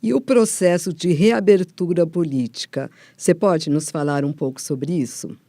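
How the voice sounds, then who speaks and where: A woman's voice: on the low side, normal speed, mellow, dry recording. A Brazilian woman presenting a radio documentary, reading scripted narration and putting questions to guests. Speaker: presenter and interviewer.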